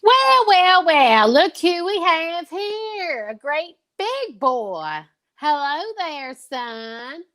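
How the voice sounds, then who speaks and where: A woman's voice: very high-pitched, sing-song, drawn-out words, a bit bright, pitched above the performer's own voice, heavily acted. A woman voicing a jolly male store Jack Frost who greets children in a hearty, indulgent way, in old-time radio style. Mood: jolly, kindly.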